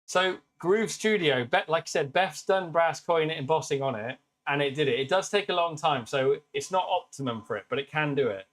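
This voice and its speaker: A British man with a naturally loud voice, hosting a casual live stream demo and chatting warmly with viewers. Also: fairly high pitch, animated, normal speed, hardly any pauses. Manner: chatty, upbeat, greets viewers by name. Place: workshop with laser engravers.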